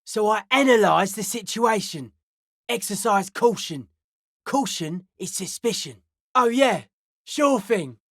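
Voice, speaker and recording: A woman voicing a suspicious, aloof young hardcore raver in a video game, speaking in deadpan, clipped, cryptic pronouncements. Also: medium pitch, slow pace, no room sound, clean studio sound, full character voice. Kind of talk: video game character dialogue.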